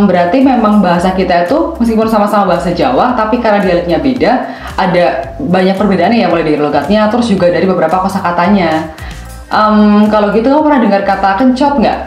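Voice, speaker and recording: A young man with a Banyumas Javanese accent, co-hosting an educational podcast and explaining terms in a steady way. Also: sits high, quick pace, reverberant, soft background music. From Banyumas, Central Java. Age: young adult. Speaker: podcast co-host, intern student. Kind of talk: scripted educational chat.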